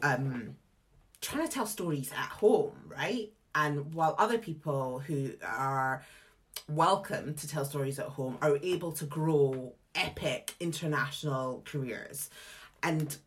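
A Scottish woman in her thirties, a theatre director telling her career story in a frank, lively, casual way. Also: low voice, slow, a little hiss or hum. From Scotland. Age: 30s. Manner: lively, frank, casual asides, many fillers and restarts. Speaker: guest, theatre artistic director. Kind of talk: candid conversational storytelling.

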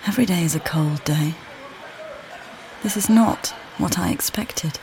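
An actress playing a weary, displaced mother who calmly guides the listener in a walking play, speaking plainly and directly to them. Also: fairly low pitch, measured pace, slight background noise, close to an everyday voice, poor audio. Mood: reflective. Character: displaced mother, weary and uncertain, far from home. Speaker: audio guide narrator. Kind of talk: immersive walking-play narration.

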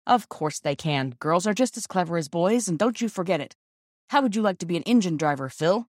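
A woman's voice: on the low side, animated, fairly quick, a little mellow, no echo. A woman reader gives voice to a jovial storybook father. He talks in a hearty, encouraging way with wry humour, close and dry in sound. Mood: cheerful.

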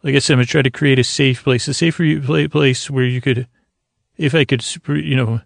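A man with a creaky voice hosting a bedtime podcast in a languid, meandering, soothing ramble, often stumbling over his words. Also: medium pitch, fairly even intonation, brisk, no echo, clean studio sound. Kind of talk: rambling, soothing sleep-aid monologue.

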